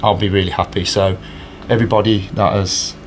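A man in his thirties talks in a somewhat low voice, earnest and halting, full of fillers and restarts. He is recorded indoors on a handheld recorder held close, with faint ambient noise behind him. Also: level tone. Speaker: charity match organiser. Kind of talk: casual interview answers. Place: indoors in front of a sponsor board. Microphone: handheld recorder held by interviewer.

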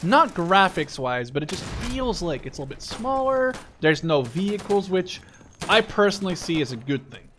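A man's voice: sits high, sing-song, normal speed, a little hiss or hum. A man giving casual, rambling gameplay commentary as a gaming YouTuber, drifting easily off track. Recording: loud gunfire and artillery behind the voice. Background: shooter game gunfire, explosions.

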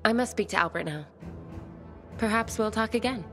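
A woman's voice: medium pitch, normal speed, fairly bright tone, soft background music, lightly acted. An actress playing a bookish, romantic and courteous woman survivor in a video game, speaking formally and thoughtfully. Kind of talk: video game cutscene dialogue.

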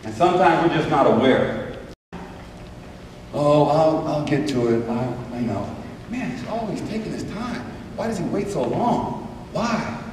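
Man, high voice, motivational stage talk, emphatic repetition, distant, long reverb, faint hiss. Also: expressive, unhurried, darkish tone. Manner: emphatic, repeating words for effect. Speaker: motivational speaker. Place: large auditorium. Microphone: far camera in audience. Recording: echoey hall recorded from far off.

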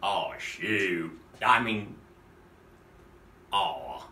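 A man's voice: sits low, expressive, very slow, roomy sound, far from the mic, background noise. Campy middle-aged man hosting a vlog. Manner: campy, theatrical, kids'-show-host tone, chuckles often. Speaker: costume maker and vlog host. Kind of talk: campy playful vlog addressed to viewers. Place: home office full of props.

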